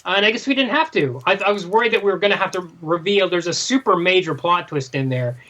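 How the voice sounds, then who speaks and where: Guy podcast co-host, fairly high voice, casual review chat, slightly muffled lo-fi audio. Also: animated, fast, bright, forceful tone. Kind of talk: casual discussion.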